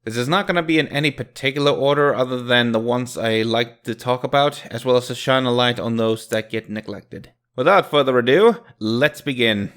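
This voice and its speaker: A man's voice: mid-range voice, a little fast, few pauses, fairly bright tone, clean tone. A man hosting a video review, giving casual scripted commentary in a jokey, emphatic way.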